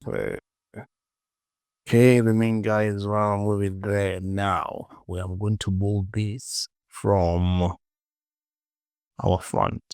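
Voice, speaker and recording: A man casually presenting a software tutorial, talking to himself as he works and repeating short phrases. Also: fairly low pitch, level tone, slow, long pauses, clear, resonant tone, dry recording, studio quality.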